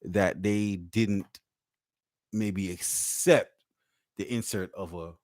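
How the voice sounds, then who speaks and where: A man's voice: low voice, steady tone, slow, a little mellow, dry recording, studio quality. A man co-hosting a podcast in casual chat, laid-back and profane, laughing often. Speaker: podcast co-host.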